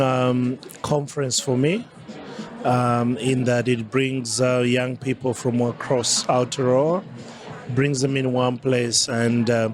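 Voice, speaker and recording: A man in his forties from Zambia with a Southern African accent gives measured, formal answers as an interview guest. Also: mid-range voice. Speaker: interview guest, climate change adaptation advisor. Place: busy festival venue. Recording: crowd chatter behind the voice.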